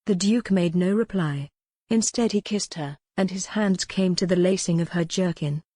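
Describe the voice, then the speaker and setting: Lowish-voiced woman narrating historical romance. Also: moderate pace, warm tone, dry recording, studio quality. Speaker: audiobook narrator.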